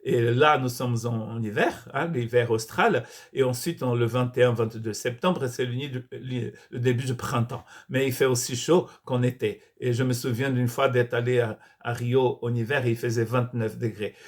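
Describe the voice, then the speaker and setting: A man's voice: mid-range voice, fairly even intonation, moderate pace. Brazilian-born man preaching a sermon. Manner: earnest preaching with frequent restarts and repeated words. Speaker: pastor. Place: church.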